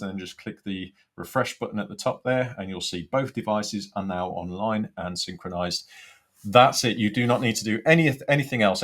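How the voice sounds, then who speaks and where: Male product demonstrator, lowish pitch, informal step-by-step tutorial delivery. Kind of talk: software tutorial walkthrough.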